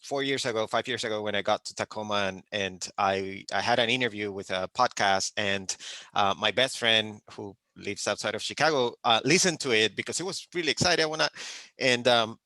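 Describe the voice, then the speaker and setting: A man from Puerto Rico with a Puerto Rican accent and Spanish as his first language, giving informal advice as a panelist in an emphatic, halting way. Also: on the low side, bright, forceful tone, no room sound.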